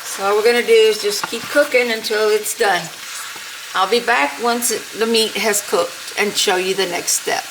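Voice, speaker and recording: A middle-aged woman hosting a casual home cooking video, talking in an unhurried, homey way while she cooks, with frequent fillers. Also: medium pitch, fairly even intonation, noisy background. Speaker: cooking channel host. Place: home kitchen. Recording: home kitchen with sizzling pan. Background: frying and sizzling.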